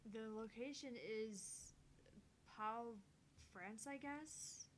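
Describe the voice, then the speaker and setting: A woman's voice: on the high side, drawn-out words, slight background noise. A woman reading visual novel dialogue and scripted reports aloud. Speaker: amateur voice reader of a visual novel.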